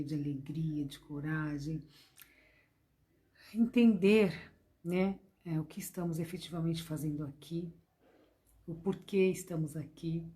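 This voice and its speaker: A hoarse woman with a Brazilian accent teaching a devotional spiritist lesson in a gentle, repetitive way, often addressing her listeners affectionately. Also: on the low side. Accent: Brazilian Portuguese. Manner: gentle, repetitive, calls listeners "gente" and "meus amores". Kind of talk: devotional lesson to an online class.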